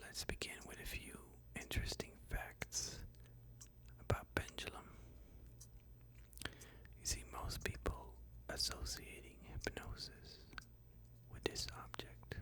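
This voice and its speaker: A host whispering a sleep hypnosis session in a breathy, soothing, deliberate way, phrase by phrase. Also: rough recording.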